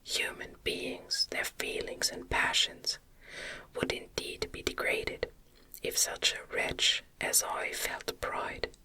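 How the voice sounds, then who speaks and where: A woman voicing an anguished, guilt-ridden young man in an impassioned breathy whisper, in a storybook reading. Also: slow, pushed and bright.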